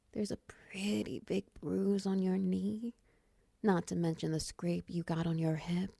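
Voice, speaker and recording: A woman playing a friendly, flirty, lonely spider woman in an intimate comfort roleplay, murmuring softly and soothingly with teasing endearments. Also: medium pitch, creaky, breathy edge, no echo, exaggerated voice. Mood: caring, curious.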